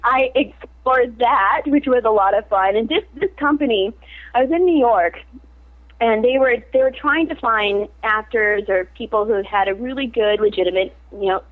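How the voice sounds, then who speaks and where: Animated actress over a tinny line. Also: female voice, on the high side, moderate pace. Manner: animated, laughs often while talking. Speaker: actress being interviewed. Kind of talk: casual interview chat.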